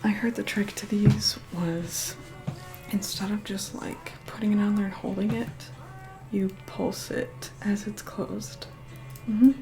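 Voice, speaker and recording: A woman's voice: medium pitch, fairly even intonation, takes it slowly, faint music behind. Whispering, begrudging female vampire acted by a woman. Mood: hushed, instructing. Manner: hushed, begrudging, bossy. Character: reluctant, haughty female vampire begrudgingly helping with makeup. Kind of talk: whispered ASMR roleplay.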